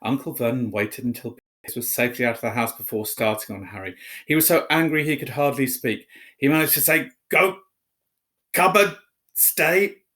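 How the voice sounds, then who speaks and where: A man's voice: medium pitch, fairly bright tone. A man telling a story, reading aloud expressively as a narrator.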